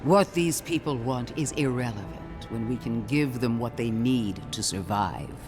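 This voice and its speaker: An actor playing a severe, zealous imperial inquisitor in a fantasy game, with an imperious, cutting, theatrical delivery. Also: male voice, mid-range voice, normal speed, over music, natural voice. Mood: severe, derisive. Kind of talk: dramatic fantasy game dialogue.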